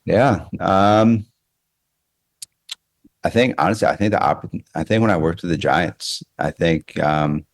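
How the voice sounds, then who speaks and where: Really low voiced american guy, casual podcast guest, keeps restarting phrases, dry close sound. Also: dry recording. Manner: halting, repeats phrases as he thinks aloud. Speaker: baseball coach interviewed as podcast guest. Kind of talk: casual reflective interview talk.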